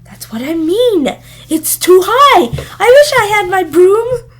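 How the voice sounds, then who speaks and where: A woman's voice: extremely high pitch, very animated, takes it slowly, pitched above the performer's own voice, full character voice. A woman voicing a lazy, dirty witch who grumbles and bleats in a storybook reading. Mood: whining. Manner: complaining, bleating, stubborn.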